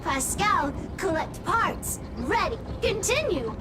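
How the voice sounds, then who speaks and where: A woman voicing a small, childlike, eager robot in a video game, speaking in halting broken phrases. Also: very high voice, sing-song, noisy background, exaggerated voice. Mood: earnest, obedient. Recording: loud music behind the voice.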